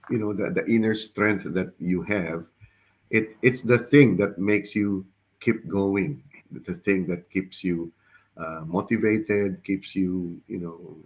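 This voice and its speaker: A man with a very deep, slightly raspy voice, recovering from the flu, hosts a podcast over a video call. He speaks in a casual, hesitant way, often stopping and repeating his words. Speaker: podcast host. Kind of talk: casual online interview.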